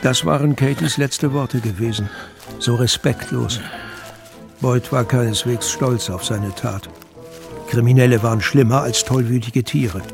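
A man's voice: mid-range voice, a little slow, creaky. A man narrating a scripted, dramatic audiobook as a storyteller. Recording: music bed under narration.

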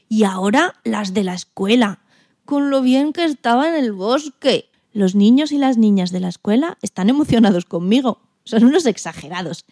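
A woman's voice: medium pitch, no echo, clean studio sound, lightly acted. A woman playing an indignant, timid male guinea pig who tells his story expressively in a children's radio play. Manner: expressive, exclamatory, plaintive.

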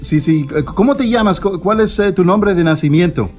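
Male radio interviewer, slightly high voice, effusive and halting, music underneath. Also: a little mellow. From born in El Salvador, raised in Canada from early childhood. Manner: effusive, hesitant, frequent tag questions. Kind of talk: casual radio interview in Spanish.

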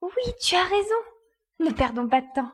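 A woman's voice: very high-pitched, big pitch swings, normal speed. A woman playing a determined, commanding glider pilot in a French audio drama. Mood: conciliatory. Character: determined female glider pilot searching for her babies.

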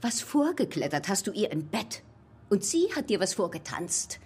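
A woman's voice: medium pitch, very animated, normal speed, darkish tone, husky, full character voice. A woman playing a jealous, ambitious wife in a German radio drama, sarcastic, insinuating and manipulative.

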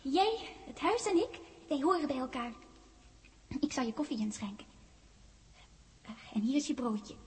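A woman's voice: sits high, sing-song, measured pace, long pauses. A woman playing a ten-year-old ghost girl in a 1960s radio drama, childlike, teasing and coaxing.

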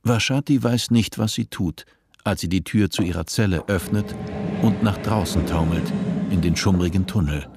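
A man narrating a scripted radio play in a measured, literary manner. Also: deep voice, loud music behind the voice.

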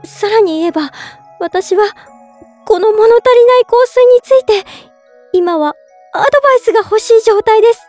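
A woman playing a gentle, polite young woman in love, narrating in character for a drama CD with sweet earnestness. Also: very high-pitched, lively intonation, normal speed, faint music behind. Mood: flustered, hopeful.

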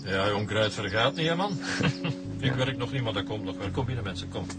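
An actor playing a smug, condescending landowner with a Flemish accent and a falsely jovial manner in a radio crime drama. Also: male voice, lowish voice, expressive, normal speed, over music. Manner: condescending, chuckling.

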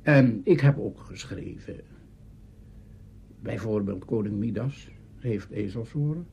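An older man, a radio pioneer, giving a measured spoken reminiscence. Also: fairly low pitch, creaky, warm tone, husky. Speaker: radio drama pioneer being interviewed.

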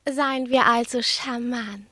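A woman's voice: on the high side, fairly even intonation, slow, sharp tone, no echo, clean studio sound, full character voice. A woman playing a tiny, vain, coquettish young female lapdog in a radio play, with an affected, boastful, coy manner. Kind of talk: German radio play acting.

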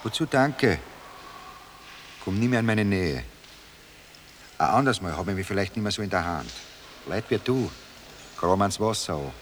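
An actor in a radio drama playing a proud, touchy street drifter, speaking blunt colloquial German with a Viennese accent. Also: male voice, on the low side, expressive, moderate pace, long pauses, lightly acted. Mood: gruff, scornful. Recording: noisy ambient background.

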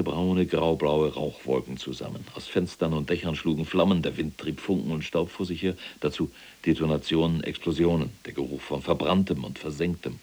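An actor playing an imprisoned former SS general in a radio play, cold and self-righteous, telling his story in a deliberate, dramatic way. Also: darkish tone. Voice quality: very deep, gravelly, husky. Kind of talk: German radio play acting, monologue.

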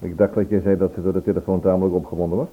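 An actor playing a matter-of-fact investigator in a Dutch radio play, explaining and probing. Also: male voice, deep voice, flat delivery, rapid-fire, slightly rough. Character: matter-of-fact investigator, companion to the worried man. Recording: tinny old radio recording, faint noise.

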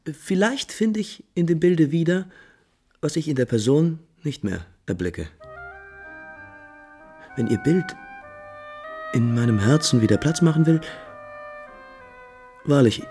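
A man playing an impulsive, refined, lovesick prince in 1950s German radio drama, theatrically shifting between musing and brisk command. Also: mid-range voice, lively intonation, darkish tone. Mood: wistful, agitated. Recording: 1950s radio recording with music behind.

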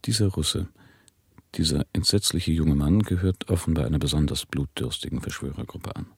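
Very deep, husky reporter. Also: male voice, full character voice. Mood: sober disquiet. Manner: measured, polite, probing. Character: formal inquiring reporter in a period radio play. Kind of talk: 1970s German radio drama acting.

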